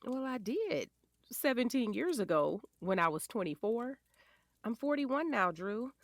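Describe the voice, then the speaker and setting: An actress as a sassy wife and mother in her forties in an audio drama. Her delivery swings widely in pitch, playful yet firm and doting. The sound is close and dry. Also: very animated, measured pace, no echo. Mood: teasing, firm. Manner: sassy, teasing. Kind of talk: naturalistic audio drama acting.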